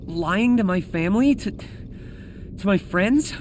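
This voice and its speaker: An actor with an American accent playing an earnest, youthful, slightly hesitant young superhero in a video game. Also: male voice, high voice, expressive, slow, a little mellow. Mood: unsure, searching. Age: young adult. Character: earnest young male superhero with a secret identity. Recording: game audio with clear background noise.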